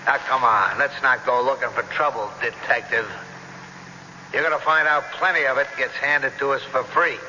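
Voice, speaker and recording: An actor playing a gruff, world-weary police sergeant in a radio drama, brusque, wisecracking and bossy. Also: male voice, mid-range voice, fairly even intonation, moderate pace, sharp tone. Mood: sardonic. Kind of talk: 1970s radio drama acting. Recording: dull, noisy, reverberant 1970s radio recording.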